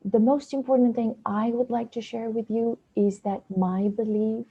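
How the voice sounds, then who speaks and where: A woman's voice: on the high side, fairly even intonation, normal speed, mellow, slightly rough, dull audio. A woman from Bulgaria giving an earnest, practical talk to students over a video call. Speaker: guest speaker, essay coach. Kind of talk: webinar talk.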